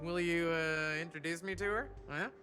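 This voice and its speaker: A woman's voice: lowish voice, fairly even intonation, normal speed, over music, natural voice. A woman voicing a cool, playful female bartender in a video game, with theatrical, flirtatious showmanship. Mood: playful, teasing. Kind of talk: video game character dialogue.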